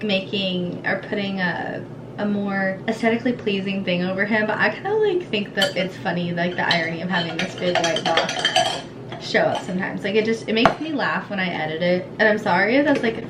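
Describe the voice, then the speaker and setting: A young woman chatting casually in a vlog, rambling and informal, recorded indoors at home. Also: medium pitch. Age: young adult. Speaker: vlogger and mother of a young child. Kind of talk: casual vlog chat to camera. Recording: echoey room, clearly noisy background.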